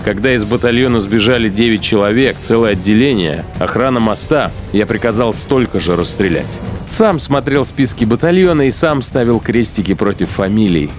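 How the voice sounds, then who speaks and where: An actor playing a cold-blooded Nazi punitive commander in a radio play, musing in a sardonic, deliberate, contemptuous way. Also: male voice, on the low side, animated, faint music behind, close to an everyday voice. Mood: cold contempt. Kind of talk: dramatized inner monologue in radio play.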